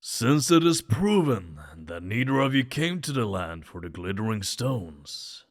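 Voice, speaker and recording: A man playing a stately, grateful king in a fantasy audio drama, speaking formally and ceremoniously with a gravelly voice. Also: medium pitch, very animated, a little slow. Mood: regal, insistent. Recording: long hall reverb.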